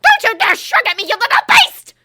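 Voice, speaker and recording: A woman voicing a shrill, pompous middle-aged witch official who shrieks and screams. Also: sing-song, quick pace, gravelly, pushed and bright, full character voice. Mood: screaming fury. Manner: shrieking, pleading then screaming.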